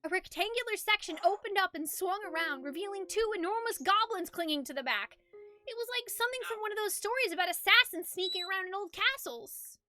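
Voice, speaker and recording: A woman's voice: very high voice, animated, a little fast. A woman streamer reading a game's story aloud expressively and chatting casually about her playthrough. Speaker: streamer, narrator of a game playthrough. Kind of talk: expressive storybook-like game narration with casual chat. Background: loud game music.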